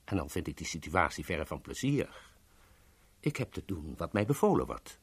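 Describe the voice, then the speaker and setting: A polite yet threatening henchman in a radio drama. He speaks formally in a husky mid-range voice with very mobile intonation, recorded dry. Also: low-pitched, very animated, no echo, exaggerated voice. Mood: grave threat. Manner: formal, courteous, measured. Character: polite, coolly threatening male henchman under orders.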